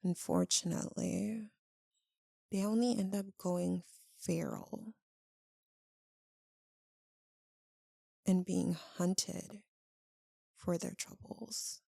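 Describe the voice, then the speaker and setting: A woman playing an anguished, lovesick vampire in an intimate ASMR roleplay, speaking softly and haltingly with emotion. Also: fairly low pitch, sing-song, vocal fry, slightly rough, dry recording, studio quality, heavily acted. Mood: somber. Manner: halting, hushed, emotional delivery with long pauses.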